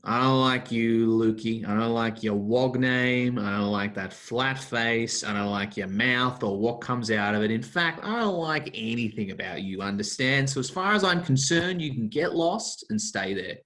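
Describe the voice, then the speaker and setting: A man's voice: fairly low pitch, lively intonation, normal speed, a bit bright. An actor playing a bitter, hostile young man, mocking and contemptuous. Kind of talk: broadcast drama acting.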